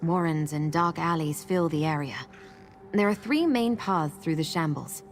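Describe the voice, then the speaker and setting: A guarded, determined guide in a fantasy game. Her voice sits low, and she speaks with measured resolve over faint music. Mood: matter-of-fact.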